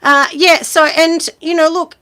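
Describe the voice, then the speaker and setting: A woman in her forties with a husky voice, hosting a casual live chat and giving friendly business advice, with lots of ums and you-knows. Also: very high-pitched, level tone, measured pace, pushed and bright. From Australia. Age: 40s. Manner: chatty and encouraging, many ums and you-knows.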